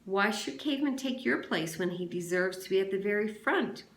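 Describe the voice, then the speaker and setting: A sarcastic teenage boy played by a woman reader leads the teasing in a storybook. He goads with mock sincerity. The sound carries a clear room echo. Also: medium pitch, expressive, normal speed, close to an everyday voice. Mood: sarcastic goading. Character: mocking teenage camp boy, sarcastic ringleader.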